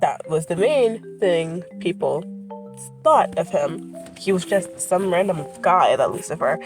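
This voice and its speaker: Brief: woman, congested and sniffly from illness, low-ish voice, loose off-script chatter with self-corrections. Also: expressive, measured pace, music in the background. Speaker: creator presenting her characters. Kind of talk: casual rambling vlog commentary.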